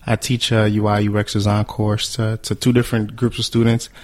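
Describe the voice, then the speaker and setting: A young man from Haiti, a guest on a podcast, answering in a relaxed, humble, reflective way. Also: on the low side, a little fast. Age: young adult. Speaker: guest, entrepreneur. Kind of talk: interview answers.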